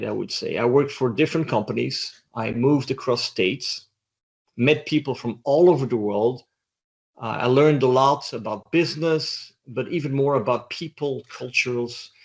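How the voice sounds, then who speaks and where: A man's voice: mid-range voice, moderate pace, creaky, highs cut off. A middle-aged man from Belgium, a guest in an interview, speaking in a reflective, thoughtful way. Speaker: interview guest, doctoral student. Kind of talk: casual interview chat.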